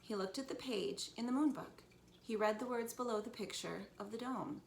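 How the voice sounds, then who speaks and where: A woman's voice: fairly high pitch, moderate pace, vocal fry. A woman reading a children's storybook aloud as a teacher would, expressively, for young listeners. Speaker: teacher reading to first graders. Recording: echoey room, background noise.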